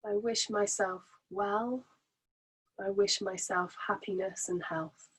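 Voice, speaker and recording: A woman guides a meditation at a very slow, unhurried pace. Her voice is soft-edged and breathy, at a medium pitch for a woman. She gives each instruction gently and soothingly, as a relaxation teacher does. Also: steady tone, low-quality recording.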